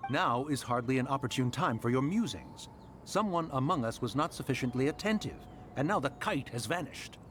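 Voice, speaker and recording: A man's voice: mid-range voice, expressive, moderate pace, a bit bright, soft background music, natural voice. A man playing a stern, worried immortal in a video game, scolding in pompous, archaic language. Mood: reproachful. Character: stern, anxious, scolding male immortal adeptus. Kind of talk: video game cutscene acting.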